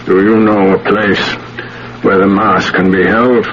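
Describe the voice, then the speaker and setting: An actor playing a solemn renegade priest in a radio drama, questioning as if in a ritual. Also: male voice, low-pitched, flat delivery, unhurried. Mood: solemn, sinister. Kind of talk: 1970s radio drama acting. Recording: muffled, noisy old radio recording.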